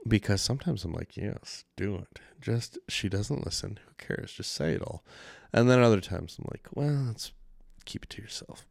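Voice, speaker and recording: A man's voice: lowish voice, smooth, no echo. Canadian man, solo podcast host, rambling, swears a lot, dry close mic. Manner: rambling, self-deprecating, frequent swearing. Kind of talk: casual solo podcast chat. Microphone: studio mic with mixer.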